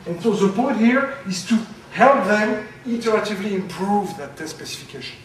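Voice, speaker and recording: A man giving a conference talk, loud and halting, with many fillers. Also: high-pitched, animated, a little hiss or hum. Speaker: conference speaker. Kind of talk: academic presentation. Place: lecture room. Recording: very echoey, from far off.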